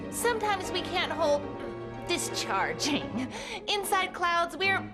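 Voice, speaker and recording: A woman's voice: very high-pitched, big pitch swings, slow, full character voice. A woman voicing an earnest, easily alarmed electric cloud creature in a cartoon. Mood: apologetic, explaining. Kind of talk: cartoon voice acting. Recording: loud music behind the voice.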